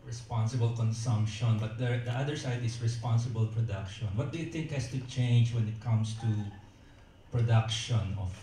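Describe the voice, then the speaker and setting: A man's voice: medium pitch, moderate pace, warm tone. A man serving as a pageant judge, asking a probing follow-up question with some hesitation. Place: hotel event hall. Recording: very echoey hall, distant mic, room noise.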